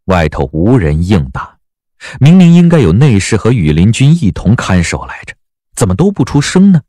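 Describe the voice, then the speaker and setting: A man narrating a dramatic audiobook as a measured, expressive storyteller. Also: on the low side, no echo.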